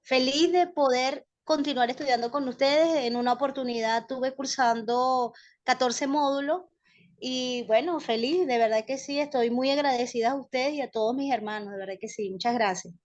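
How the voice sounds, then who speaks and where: A muffled online call carries the somewhat high voice of a middle-aged Venezuelan-accented woman. She presents herself to a class as a student, speaking in an earnest, devout and grateful way. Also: normal speed. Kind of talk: personal self-introduction to a class. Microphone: online group call microphone. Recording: dull lo-fi online call audio.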